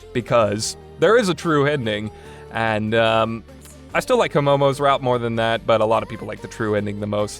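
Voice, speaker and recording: A man hosting a casual, opinionated game review in a conversational, rambling way, often correcting himself as he goes. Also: mid-range voice. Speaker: podcast host and reviewer. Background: background music.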